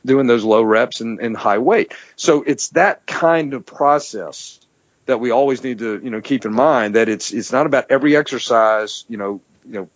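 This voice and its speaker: A man's voice: mid-range voice, moderate pace, dry recording, muffled recording. A man speaking as a strength coach and podcast guest in casual, rambling interview talk peppered with "you know".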